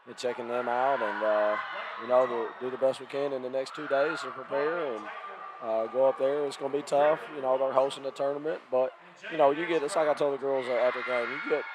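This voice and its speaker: A man coaching basketball, hoarse and raspy from illness, talking plainly with many fillers. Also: medium pitch, fairly even intonation, fairly quick, crowd noise, rough recording.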